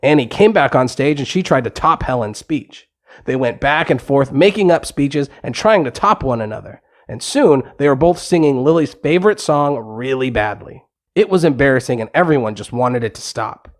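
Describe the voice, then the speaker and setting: A man's voice: mid-range voice, animated, normal speed. A man narrating a scripted bedtime story for kids.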